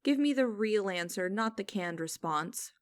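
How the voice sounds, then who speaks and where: A woman playing a stern, mocking young company boss in a scripted audio roleplay, speaking in a formal, deliberate and condescending way. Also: mid-range voice, expressive, no room sound, natural voice. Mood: cool, probing.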